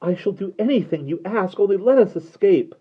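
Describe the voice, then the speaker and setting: A desperate young woman, as a male reader puts her on, with a voice raised well above his own and louder in her pleas. She begs and cries out urgently. The sound is dull and lo-fi. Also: on the high side, very animated, slow pace, husky, voice pushed up, heavily acted. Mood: pleading. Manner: pleading, urgent and breathless. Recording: dull lo-fi recording.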